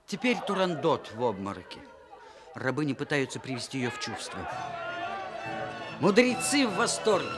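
An actor playing a storytelling narrator who describes the action of a radio play. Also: male voice, medium pitch, very animated, normal speed, long pauses, close to an everyday voice. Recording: loud music and crowd behind voice.

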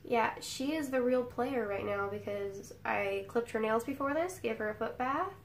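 A woman's voice: a little high, moderate pace. Nasal, slightly sick young woman chatting. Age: young adult. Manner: chatty, rambling with many fillers. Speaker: beauty vlogger. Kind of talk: casual makeup tutorial chat. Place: bedroom at home, indoors.

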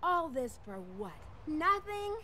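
A sassy female treasure hunter from a video game. She is coy and theatrical, high and lively, in an echoey space with noise behind. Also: takes it slowly, heavily acted. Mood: sly, miffed. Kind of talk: video game cutscene acting. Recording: roomy echo, loud ambient noise.

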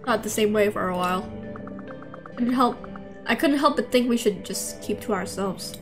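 A woman's voice: mid-range voice, moderate pace. A young woman livestreaming, casually reading visual novel dialogue aloud with stumbles, rereads and offhand asides. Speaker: game streamer. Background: game music.

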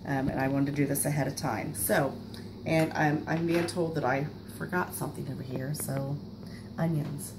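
A woman's voice: deep voice, fairly even intonation, moderate pace, noisy background. A woman in her forties hosting a casual home cooking show, chatty and full of fillers, recorded on a camera mic in her kitchen. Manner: chatty, filler-heavy, self-deprecating.

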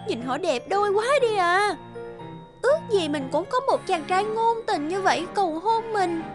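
Woman acting a swooning young cartoon fangirl, very high voice, gushing, exclamatory, music behind. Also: animated, normal speed. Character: excited gushing young female celebrity fan.

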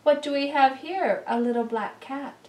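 A woman voicing a kindly, gentle old woman in a storybook, soothing and coaxing. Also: sits high, normal speed, voice pushed up, close to an everyday voice. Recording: roomy, distant camera microphone.

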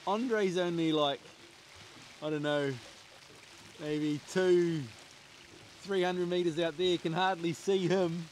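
A man's voice: high-pitched, fairly even intonation, slow pace, long pauses, a little hiss or hum. Upbeat New Zealand man on a boat. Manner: upbeat, chatty, laughs often. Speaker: fishing vlogger. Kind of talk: casual vlog chat to camera. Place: on a small boat at sea, outdoors. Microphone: handheld camera at arm's length.

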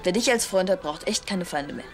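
A man's voice: high voice, animated, brisk, faint music behind. A young male actor playing a sullen, lovesick teenage schoolboy in a TV drama, with a curt, sarcastic manner. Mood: defensive, sarcastic. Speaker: actor in a youth TV series. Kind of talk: scripted TV drama dialogue.

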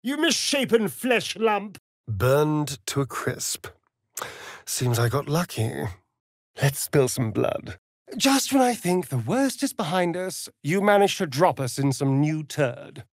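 British male vampire rogue, sly, sardonic, camp, highly animated intonation, unhurried drawl, theatrical fantasy game delivery. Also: no room sound, studio quality, exaggerated voice. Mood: disgusted, exasperated. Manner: arch, camp, drawling and teasing. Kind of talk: theatrical video game character acting.

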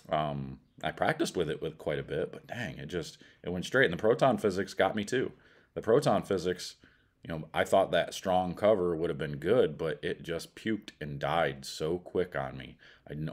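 A middle-aged man with an American accent gives a casual product review in a blunt, colloquial way. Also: deep voice. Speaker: bowling ball reviewer.

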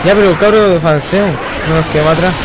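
A man's voice: high voice, quick pace, heavy background noise. A young man with a Chilean accent giving casual, slangy gameplay commentary, swearing and bossing his teammates around. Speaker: gaming video host. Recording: game music and sounds behind. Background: loud video game music and effects.

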